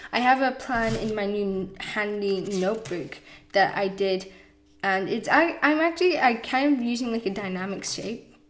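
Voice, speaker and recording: A woman chatting casually in a rambling voiceover over gameplay footage, losing her voice, with frequent fillers and restarts. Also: fairly high pitch. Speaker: YouTube game builder.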